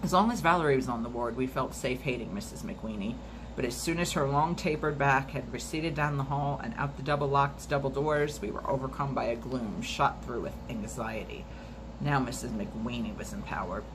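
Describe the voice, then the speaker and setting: A woman reading a memoir aloud for a storytime video at a steady, measured pace, now and then stumbling and restarting a word. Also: rumbling low, background noise. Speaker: storytime reader.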